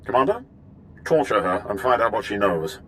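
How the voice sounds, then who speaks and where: A man's voice: lowish voice, big pitch swings, normal speed, fairly bright tone. An imperious male admiral as a sci-fi game villain. He speaks with cold, formal courtesy and menace, with faint noise behind. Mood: menacing. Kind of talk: video game voice acting.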